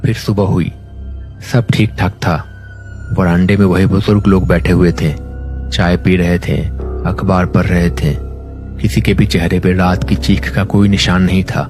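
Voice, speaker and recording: A man with a very deep, low voice tells a first-person horror story for a cartoon. His delivery is steady and builds suspense. Loud background music plays under his close voice-over. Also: unhurried.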